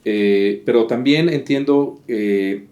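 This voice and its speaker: A man's voice: medium pitch, takes it slowly, a little mellow. A man interviewing a guest, summarizing in formal academic phrasing with many hesitations and fillers.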